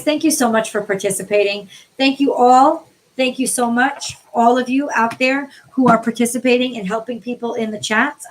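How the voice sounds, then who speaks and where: An American woman from the New York City area reads tarot on a live stream, chatting informally with viewers by name, her voice tiring and getting a little hoarse. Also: fairly high pitch, poor audio. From New York City area, USA. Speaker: tarot card reader, livestream host.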